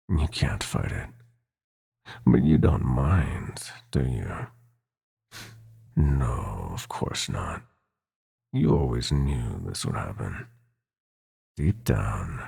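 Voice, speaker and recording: Very deep, gravelly demon prince villain. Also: male voice, darkish tone, full character voice. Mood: hypnotic, coaxing. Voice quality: gravelly, husky. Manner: theatrical, taunting, drawn-out menacing purr with whispered phrases. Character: sinister male prince of nightmares, seductive, gloating, possessive villain. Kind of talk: ASMR roleplay acting.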